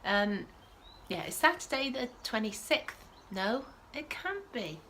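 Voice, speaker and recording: A woman's voice: on the high side, sing-song, slow pace, pushed and bright, a little hiss or hum. A woman hosting a casual knitting podcast from home, sniffling with a starting cold and rambling with frequent self-corrections. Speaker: podcast host.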